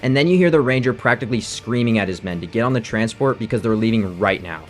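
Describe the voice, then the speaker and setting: Male narrator, brisk delivery, scripted game analysis, soft music underneath. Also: lowish voice, lively intonation. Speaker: video essay narrator.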